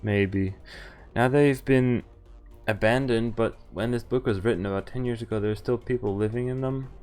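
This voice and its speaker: A man's voice: mid-range voice, normal speed, a little mellow, clear, resonant tone, faint music behind. A man streaming a visual novel, reading its text aloud and breaking off to swear and react. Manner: reads narration evenly, breaks off to swear and react.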